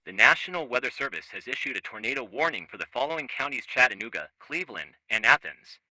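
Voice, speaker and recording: A man voicing an emergency alert broadcast voice that reads warnings in a monotone. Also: mid-range voice, fast talker, heavy background noise, lightly acted. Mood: grave.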